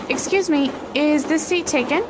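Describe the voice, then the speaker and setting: A woman's voice: sits high, moderate pace, close to an everyday voice. A woman voicing a friendly local city worker in a scripted lesson dialogue, with vehicle noise behind.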